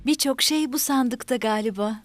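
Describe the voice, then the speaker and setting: A woman playing a patient, affectionate young mother in a children's cartoon, speaking in a gentle, encouraging, instructive way. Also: fairly high pitch, moderate pace, natural voice.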